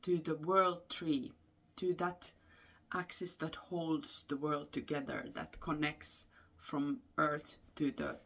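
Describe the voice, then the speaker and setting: Low, creaky-voiced Finnish woman. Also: little pitch movement, a bit bright. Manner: halting, explanatory, with frequent pauses. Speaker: storyteller and host. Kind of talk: unscripted folklore storytelling.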